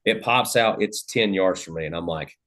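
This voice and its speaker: A man, a podcast host telling a hunting story in a loud, animated, cursing way. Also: sits low, normal speed. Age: 30s. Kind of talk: casual storytelling chat.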